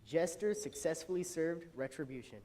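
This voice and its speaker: A man's voice: a little high, moderate pace, warm tone, slight background noise, lightly acted. A man playing an officious royal court official in a stage comedy, formal and orderly. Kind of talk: stage comedy fairy-tale acting.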